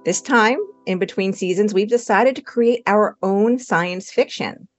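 A woman hosting a podcast, introducing the episode in an upbeat, clearly explaining way. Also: medium pitch, a little slow, soft background music. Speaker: podcast host. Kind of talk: scripted show introduction.

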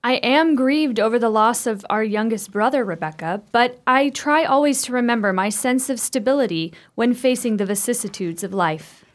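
Actress for gothic radio play: composed married sister, prim formal phrasing, high and very melodic. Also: female voice, lively intonation, normal speed, few pauses, close to an everyday voice. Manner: formal, genteel diction. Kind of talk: old-fashioned radio play acting.

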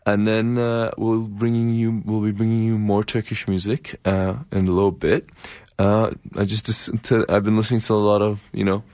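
Brief: young man from Iran, very low voice, radio co-host, halting with lots of fillers, dry studio sound. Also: little pitch movement, a little mellow, no room sound. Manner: halting, many fillers and restarts. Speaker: radio co-host, student union vice president. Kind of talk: casual campus radio chat.

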